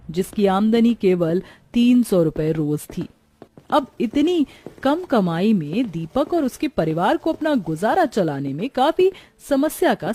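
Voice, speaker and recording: A woman narrates a scripted moral tale as a storyteller. Her voice is mellow and soft-edged, at an ordinary middle pitch.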